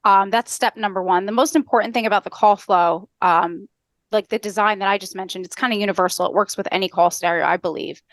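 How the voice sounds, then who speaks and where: A middle-aged American woman on a headset, explaining step by step as a podcast guest in a brisk, structured, instructional way. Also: medium pitch, no echo. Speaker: podcast guest, customer service trainer. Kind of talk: explanatory interview talk with acted-out call examples. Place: indoor room on a video call.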